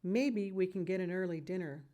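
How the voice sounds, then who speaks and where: A woman's voice: on the low side, moderate pace, dark tone, natural voice. Woman playing a loving, emphatic mother. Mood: fond, pleased. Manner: emphatic, affectionate scolding and teasing. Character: loving, firm, busy mother of a toddler.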